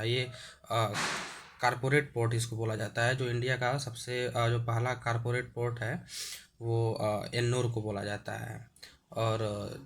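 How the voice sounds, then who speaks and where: A man with a sore throat, explaining an exam-prep lesson with many hesitations and frequent throat clearing. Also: medium pitch, fairly bright tone, slight background noise.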